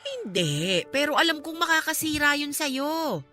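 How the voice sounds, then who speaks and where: A caring, outspoken Filipino friend in a radio drama. Her voice is highish, bright and very expressive, coaxing and emphatic as she persuades, with music underneath. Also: unhurried, exaggerated voice. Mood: earnest persuasion.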